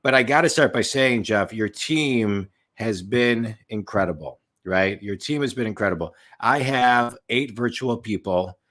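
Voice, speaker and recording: A man's voice: fairly low pitch, unhurried, hardly any pauses, a bit bright. A man in his late forties hosting an interview over a video call from a home office, talking in an emphatic, rambling way and repeating his points. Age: 40s. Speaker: podcast host and business coach. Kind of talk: casual interview chat.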